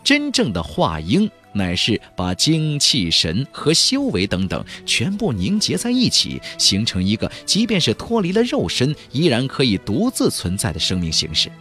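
A man's voice: mid-range voice, wildly swooping pitch, normal speed, hardly any pauses, a bit bright, faint music behind. A man narrating a fantasy audiobook in a dramatic, scripted storytelling style.

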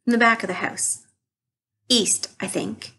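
A woman playing a reserved married witness at an 1890s trial, answering plainly and carefully in a breathy voice. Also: on the high side, steady tone, a little slow, pushed and bright. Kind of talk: dramatic reading of court testimony.

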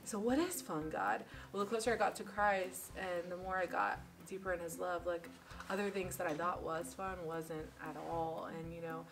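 A woman in her twenties sharing her story casually as a panel guest, talking in quick animated bursts. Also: on the low side, distant mic, slight background noise. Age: 20s. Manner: animated, fast bursts with 'like' and 'you know'. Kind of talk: casual testimony. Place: small wood-paneled studio room.

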